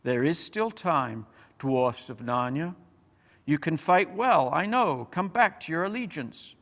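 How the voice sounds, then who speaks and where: A man's voice: on the high side, expressive, a little slow, fairly bright tone. A man voicing an earnest, commanding warrior king making urgent, rallying appeals in a storybook reading. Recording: long reverberant hall.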